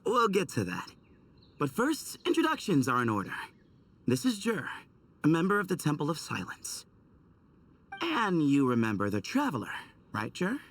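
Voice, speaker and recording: An actor playing an easygoing, friendly young forest researcher in a video game, casual and teasing. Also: male voice, on the high side, huge pitch swings, moderate pace, pauses often, a bit bright, recorded from a distance, full character voice. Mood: teasing, cheerful.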